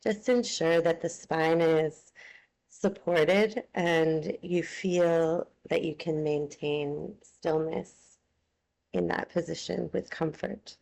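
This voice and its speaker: A woman teaching yoga nidra, leading a guided relaxation in a soothing, unhurried, gently instructing manner. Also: deep voice, steady tone, gravelly, low-quality recording.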